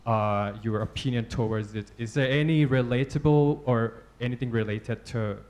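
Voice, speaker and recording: A man from southern Thailand with a Thai accent asks a long, halting question at a press club panel, with many fillers and apologies. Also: medium pitch, a little mellow. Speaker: audience questioner.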